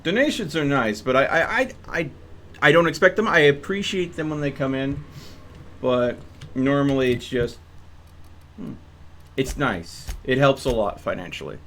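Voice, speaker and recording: A man's voice: mid-range voice, expressive, normal speed, long pauses, fairly bright tone, slight background noise. A young man streaming a game, chatting casually with a rambling, hesitant manner. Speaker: gaming streamer. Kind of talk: casual live-stream commentary.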